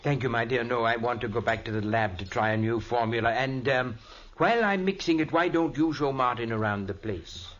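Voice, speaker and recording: An actor playing an eccentric old professor. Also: male voice, medium pitch, a little fast, fairly bright tone, close to an everyday voice. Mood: fussy. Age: older. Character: eccentric older male insect-hating scientist. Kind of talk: 1940s radio acting.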